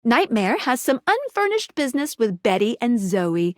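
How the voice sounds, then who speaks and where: A woman voicing a chipper, helpful young female magical guide in a video game, with a perky, playful and expressive manner. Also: on the high side, moderate pace, dry recording, studio quality. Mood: helpful, informative. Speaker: voice actor playing a guide character in a dating simulator game. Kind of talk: animated video game character dialogue.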